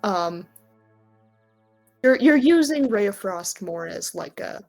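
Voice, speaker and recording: A woman's voice: mid-range voice, lively intonation, slow pace, gravelly, darkish tone, soft background music. A woman running a tabletop roleplaying game, improvising narration in a conversational, slightly hesitant manner, feeling her way through the scene. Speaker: game master.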